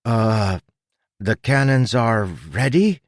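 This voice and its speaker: A man's voice: on the low side, animated, slow pace, no room sound, studio quality, pitched above the performer's own voice. A man voicing a tentative, puzzled young woman in a storybook narration. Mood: hesitant. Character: hesitant, skeptical young woman.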